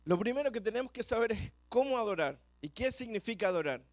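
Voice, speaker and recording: Fast-talking Chilean preacher, high voice. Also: male voice, animated, no room sound. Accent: Chilean Spanish. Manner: fast-talking, repeats words when rushing. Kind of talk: sermon. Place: church.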